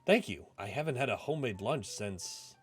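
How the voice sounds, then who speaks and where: A man's voice: mid-range voice, animated, normal speed, slightly rough. A reserved young man from a visual novel, acted out by a male reader. He talks politely and a little hesitantly, keeping things understated. There is music behind him. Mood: reserved, thankful.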